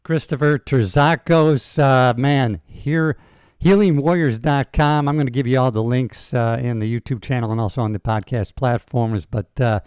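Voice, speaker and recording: A man's voice: medium pitch, moderate pace, a little mellow, clean tone, no echo, studio quality. Enthusiastic man host in his sixties. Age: 60s. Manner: enthusiastic, buddy-like, calls guest brother. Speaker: podcast host. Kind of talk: casual interview talk.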